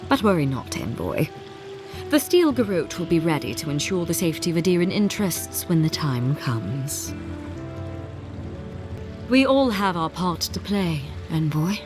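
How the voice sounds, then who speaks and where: Imperious calculating ambassador lady, real low pitch, measured, video game with music behind. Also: sing-song. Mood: ominous, controlled. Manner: measured, formal, pointed. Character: imperious, calculating female ambassador, quietly menacing.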